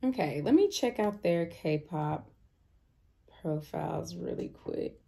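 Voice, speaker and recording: A woman's voice: fairly low pitch, expressive, slow, vocal fry, dark tone. An American woman giving casual reaction commentary as a video host, speaking in a blunt, slangy, conversational way.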